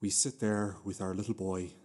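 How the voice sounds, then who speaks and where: A man's voice: low-pitched, flat delivery, normal speed, a little mellow. A male actor playing a vain husband in a radio play, pompously interjecting and correcting while posing as ordinary. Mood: smug. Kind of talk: radio play monologue shared as a duet.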